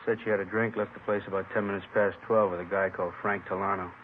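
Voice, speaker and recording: Man acting deadpan police detective, low flat voice, clipped phrases, radio crime drama, tinny old recording. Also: quick pace, slight background noise, natural voice. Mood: businesslike. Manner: clipped, subjectless sentences, deadpan. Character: laconic plainclothes male police detective reporting leads.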